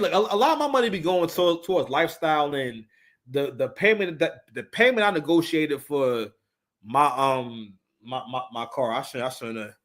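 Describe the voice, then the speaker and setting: Man, thirties, fairly high voice, casual live-stream host, emphatic, repetitive phrasing, salty language. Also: fairly bright tone. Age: 30s. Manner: emphatic, repeats phrases, frequent swearing. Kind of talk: casual, unscripted opinionated commentary.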